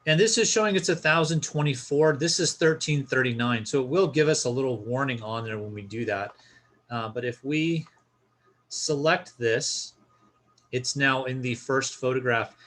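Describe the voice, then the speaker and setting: Male tutorial presenter, medium pitch, casual and instructional, guiding viewers through an on-screen walkthrough. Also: moderate pace, a bit bright. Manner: informal, explanatory, step-by-step.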